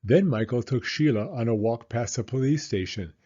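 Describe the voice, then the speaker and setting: A man reading a children's picture book aloud in an expressive, animated way, as a storyteller speaking to young listeners. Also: medium pitch, normal speed, breathy edge, highs cut off. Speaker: storyteller, former school teacher.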